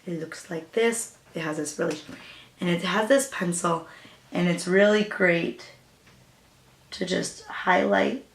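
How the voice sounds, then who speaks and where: A young woman with a raspy voice, chatting casually through a makeup tutorial as a new beauty vlogger. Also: on the low side, takes it slowly, roomy sound. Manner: chatty, hesitant, with fillers and apologies.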